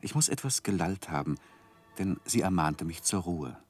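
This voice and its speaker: An actor playing an earnest man looking back on his youth in a measured, reflective 1960s radio drama manner. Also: low voice, lively intonation, a little mellow, slightly rough, soft background music. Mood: rueful, reflective. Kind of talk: 1960s German radio drama narration and acting.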